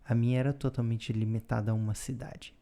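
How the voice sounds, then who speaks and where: A man, co-hosting a podcast and explaining things in a calm, casual way, often ending with a questioning tag. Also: medium pitch, a little fast. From Brazil. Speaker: podcast co-host. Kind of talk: casual conversational storytelling.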